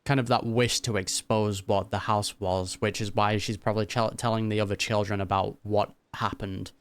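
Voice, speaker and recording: A man's voice: on the low side, little pitch movement, moderate pace, slight background noise. A man giving casual, musing commentary as he reacts to a game, speaking informally. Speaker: gaming reaction YouTuber.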